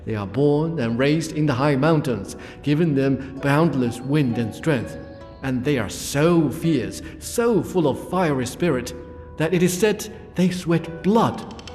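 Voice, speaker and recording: Earnest, awed court envoy male actor, lightish pitch; audio drama with echoing hall and music. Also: animated, takes it slowly, a little mellow. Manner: formal, earnest storytelling. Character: respectful, awed imperial envoy and seasoned traveler. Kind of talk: amateur audio drama acting. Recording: hall reverb with music behind.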